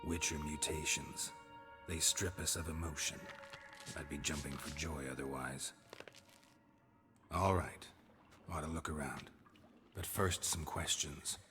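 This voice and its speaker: An actor playing a stoic, dryly wry monster hunter in a fantasy video game, speaking in a terse, deadpan way. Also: male voice, a bass voice, unhurried, long pauses, music in the background.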